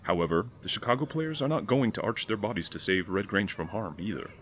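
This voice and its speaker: An actor playing a stern, high-minded older 1920s college football coach in an audio drama, speaking American English with formal, pompous oratory. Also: male voice, brisk, fairly bright tone, close to an everyday voice. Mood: stern, disdainful. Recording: clearly noisy background ambience.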